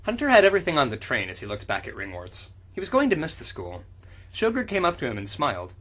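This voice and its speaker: Bright, animated man with a slightly husky voice. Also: on the high side, quick pace. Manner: crude, frequently laughing, swearing commentary. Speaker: podcast co-host. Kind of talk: comedic dramatic reading with banter.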